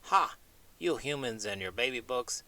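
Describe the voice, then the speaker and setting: A man's voice: medium pitch, expressive, moderate pace, bright, forceful tone. A man voicing a cocky, carefree talking pig with a boastful, teasing manner in a children's show.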